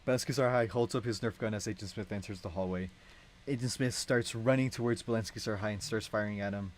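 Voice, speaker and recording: A man's voice: fairly low pitch, steady tone, fast, a little hiss or hum. Young adult male narrator, casual script read-along, informal and self-interrupting.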